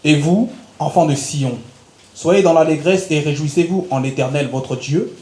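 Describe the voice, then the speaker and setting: A man's voice: on the high side, measured pace, dark tone. Man reading scripture in an echoing hall. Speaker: scripture reader. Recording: echoey church hall.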